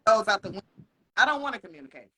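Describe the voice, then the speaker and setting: A man co-hosting a casual podcast, talking frankly and cursing freely. His voice is bright and forward, and he laughs between points. A faint hiss sits under the recording. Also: high voice, expressive. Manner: frank and profane, laughs often, slows down to stress points. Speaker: podcast co-host.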